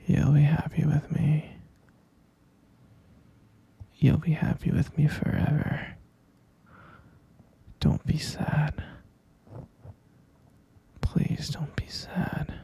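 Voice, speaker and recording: A man playing a tender, possessive, deluded captor in an intimate audio roleplay, coaxing in a breathy, gravelly whisper with murmured endearments. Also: a little high, monotone, extremely slow, long pauses, warm tone, full character voice. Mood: soothing, obsessive. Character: obsessive male yandere captor, tender, possessive, deluded lover.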